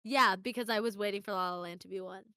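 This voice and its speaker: A young woman co-hosting a podcast, chatting casually and teasing her partner with quick wit. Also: medium pitch, bright, forceful tone, smooth, dry recording. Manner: teasing, quick-witted banter. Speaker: podcast co-host. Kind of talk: casual chat.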